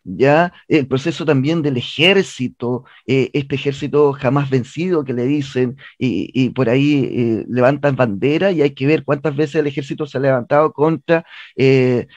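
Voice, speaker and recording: A man's voice: mid-range voice, normal speed, hardly any pauses, a little mellow, no echo. A man with a Chilean accent giving a radio interview as a guest, speaking earnestly and emphatically, repeating words as he argues, on lo-fi audio. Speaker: theatre director, interview guest.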